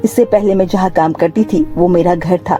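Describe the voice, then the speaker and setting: A woman's voice: lowish voice, normal speed, warm tone, faint music behind, natural voice. A woman voicing a humble, grateful, hardworking mother in a cartoon story, earnest and modest.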